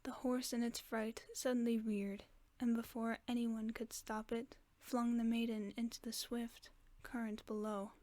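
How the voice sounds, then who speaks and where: Softly whispering woman reading a bedtime story. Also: on the high side, level tone, a little slow, hardly any pauses, no echo. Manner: soft, mostly unvoiced whisper. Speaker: ASMR storyteller.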